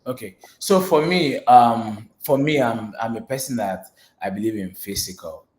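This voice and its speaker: A man with a Nigerian accent, Yoruba his mother tongue, speaks at a middle pitch with earnest, restless phrasing. He loops back over words and leans on "you know" as he explains. The sound carries a roomy echo. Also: moderate pace, darkish tone. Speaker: guest speaker. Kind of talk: panel interview answer.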